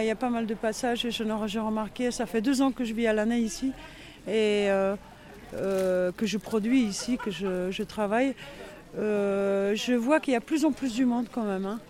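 A man's voice: high-pitched, fairly even intonation, normal speed, darkish tone. A man interviewed about village life, talking casually and hesitating with many fillers. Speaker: villager interviewed on local radio. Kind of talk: street interview, casual talk.

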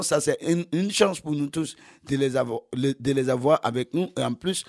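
A man from Nigeria whose first language is English, speaking French as a festival organizer in an interview, halting with many fillers and restarts. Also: medium pitch, darkish tone. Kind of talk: radio interview.

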